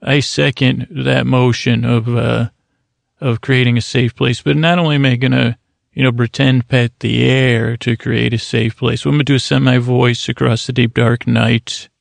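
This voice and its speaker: A man hosting a sleep podcast, rambling in a meandering, soft-spoken bedtime monologue that keeps interrupting itself. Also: mid-range voice, little pitch movement, moderate pace, creaky, no room sound.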